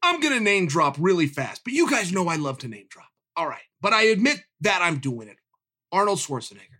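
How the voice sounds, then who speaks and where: Fighter guy ranting hard, bright punchy voice, keeps firing questions at you. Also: high-pitched, very animated, fairly quick. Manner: rousing, full of pointed rhetorical questions. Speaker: MMA fighter. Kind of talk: fired-up commentary rant.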